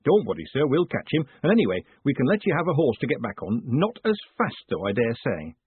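A man's voice: mid-range voice, wildly swooping pitch, fairly quick, husky, no room sound, full character voice. A man voicing a short, nervous, folksy small-town mayor in an audiobook, chatty and eager to please. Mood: nervous, deferential. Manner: chatty, repetitive folksy phrasing with tag endings. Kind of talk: audiobook character dialogue. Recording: muffled, thin phone-like sound.

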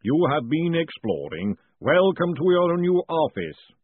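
A man voicing a huge, calm clay golem with a booming, ponderous, rumbling delivery in an audiobook story. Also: husky, dry recording, full character voice. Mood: booming welcome. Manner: slow, ponderous, infuriatingly calm. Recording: muffled phone-like audio.

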